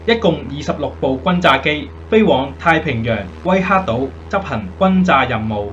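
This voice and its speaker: Dutiful navigator, muffled with hum. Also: male voice, a little high, fairly bright tone. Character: dutiful male navigator reporting to his captain. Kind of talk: Cantonese radio drama acting.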